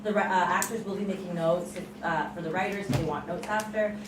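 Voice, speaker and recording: A woman giving an informal, halting welcome as co-host of an event. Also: fairly low pitch. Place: indoor event room, recorded from afar. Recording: echoey, distant room recording with noise.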